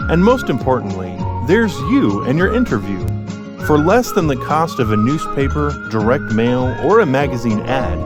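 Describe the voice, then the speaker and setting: Lively male ad announcer over music. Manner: upbeat sales pitch. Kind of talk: scripted promotional voice-over. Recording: voice-over with loud music behind. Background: loud music.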